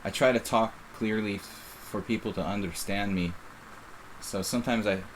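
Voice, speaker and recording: A man's voice: on the low side, fairly even intonation, moderate pace, slight background noise, poor audio. A middle-aged man with a faint Philadelphia accent, casually commenting on his own live chess game in a rambling, self-interrupting way. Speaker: chess streamer.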